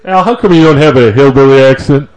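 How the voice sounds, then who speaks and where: A man's voice: mid-range voice, fast talker, darkish tone, rough recording. A man co-hosting a casual show, bantering in a blunt, teasing, wisecracking way. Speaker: co-host.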